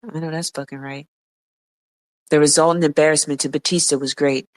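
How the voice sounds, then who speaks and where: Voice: medium pitch, fairly even intonation, a little fast, no echo. A podcast co-host reading nonfiction aloud, stumbling and restarting words now and then and dropping blunt offhand remarks.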